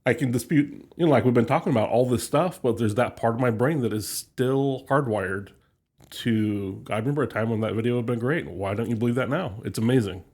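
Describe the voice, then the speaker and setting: A man's voice: medium pitch, a little fast, darkish tone, slightly rough. A man co-hosting a podcast in casual chat, talking hesitantly with frequent fillers. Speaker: podcast co-host.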